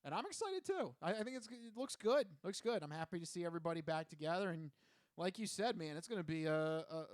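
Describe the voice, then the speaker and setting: A man's voice: high voice, big pitch swings, quick pace, dry recording. A man hosting a podcast with casual, playful banter and news reading, close on the microphone. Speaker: podcast host.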